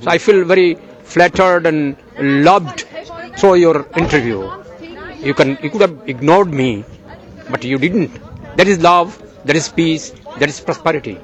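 An older man from Bangladesh, an agricultural journalist, giving slow, earnest interview answers outdoors. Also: on the high side, some chatter behind.

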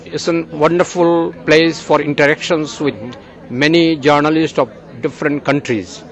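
An older man from Bangladesh, an agricultural journalist, giving slow, earnest interview answers outdoors. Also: on the high side, steady tone, a faint crowd.

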